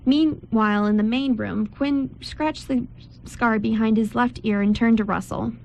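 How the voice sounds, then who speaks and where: Female story narrator, higher register, amateur read-aloud with small stumbles, lightly noisy recording. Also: steady tone, a little slow, gravelly, darkish tone. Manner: stumbles over words while reading.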